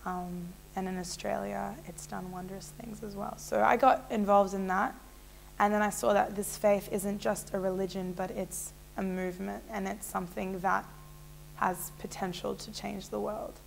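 A woman's voice: medium pitch, level tone, moderate pace, vocal fry, a little hiss or hum, rough recording. A young woman in a small indoor room, telling her personal story to a fixed camera in a casual, rambling way with many fillers. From Israel. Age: young adult. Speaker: interviewee.

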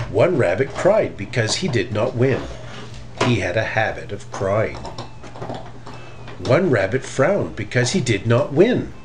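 A middle-aged man reads a picture book aloud for children. He takes his time, with expressive phrasing and a lively rise and fall in pitch. Faint music plays behind him. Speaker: storybook reader. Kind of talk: children's story read aloud.

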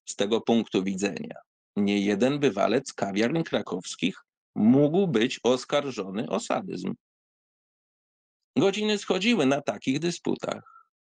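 A man giving an expressive, theatrical reading of a literary essay, with wry asides and sighs. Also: mid-range voice, a little slow, vocal fry, no echo. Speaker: audiobook reader.

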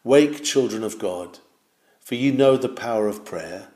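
A man's voice: on the low side, unhurried, a little mellow, echoey room. A man reading an old sermon aloud in a solemn, exhorting manner, with rising and falling emphasis. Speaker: reader of a historical sermon.

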